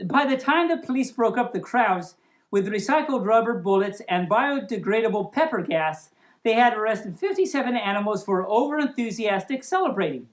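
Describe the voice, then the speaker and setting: A woman reading a story aloud as a storytime host, playful and silly in an expressive way. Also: a little high, normal speed.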